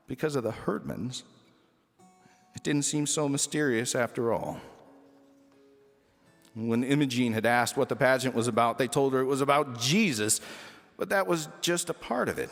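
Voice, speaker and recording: A man reading a humorous children's story aloud expressively, with dramatic pauses and emphasis. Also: mid-range voice, big-room sound.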